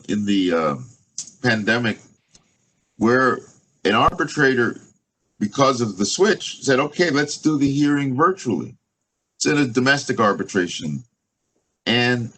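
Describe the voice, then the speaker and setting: A man's voice: medium pitch, a bit bright, poor audio. A man from the United States, a law professor, lecturing over a video call, thinking aloud with many fillers and restarts. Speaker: law professor giving a guest talk.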